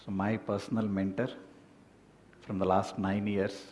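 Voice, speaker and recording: A man's voice: little pitch movement, a little slow, darkish tone, recorded from a distance. A man hosting a retreat session, politely introducing speakers with short prompts. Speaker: host. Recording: echoey hall with rumble.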